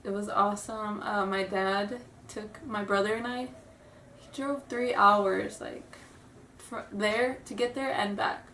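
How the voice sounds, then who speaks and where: A woman's voice: mid-range voice, fairly even intonation, takes it slowly, pushed and bright, slight background noise. A young woman who sounds stuffy from a cold, chatting nervously and rambling in a casual vlog. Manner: nervous, rambling, apologetic, giggly. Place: room at home. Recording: roomy, distant home camera audio.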